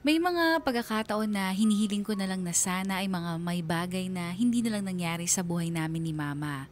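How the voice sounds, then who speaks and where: A woman's voice: mid-range voice, a little fast, natural voice. A young woman with a Filipino accent playing a wistful daughter, narrating a radio drama in a reflective, earnest way. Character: wistful daughter narrating her letter about her mother. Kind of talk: scripted first-person radio drama narration. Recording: music bed under the voice.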